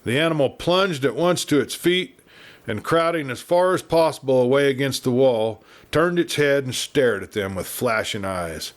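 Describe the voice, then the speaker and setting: A man's voice: mid-range voice, expressive, moderate pace. American man narrating a Western tale. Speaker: audiobook narrator.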